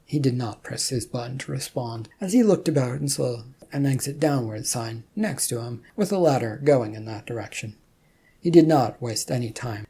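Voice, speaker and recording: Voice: mid-range voice, warm tone, breathy edge. A storyteller reading scripted fiction narration.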